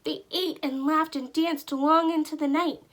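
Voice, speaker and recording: A woman narrating a children's storybook aloud with expressive, deliberate storytelling. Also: high voice. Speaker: teacher reading aloud.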